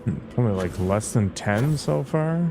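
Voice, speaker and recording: A man's voice: mid-range voice, expressive, moderate pace, music in the background. A man giving casual commentary on a game he is playing, with dry, sarcastic asides. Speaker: gamer streamer. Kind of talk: casual let's play commentary.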